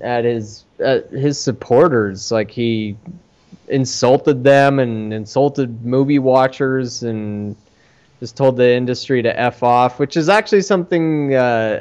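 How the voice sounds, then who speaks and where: A man hosting a casual podcast chat about games and movies, speaking in halting, hesitant phrases. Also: medium pitch, a little mellow, clean tone, dull audio. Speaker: podcast host.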